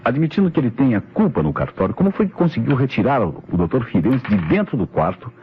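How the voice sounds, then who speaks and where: A Brazilian man playing a methodical, authoritative police inspector in an old radio mystery, explaining in a deliberate, declamatory way. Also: medium pitch, sing-song, breathy edge. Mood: assured, expository. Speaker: radio drama actor. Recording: dull, noisy vintage radio recording.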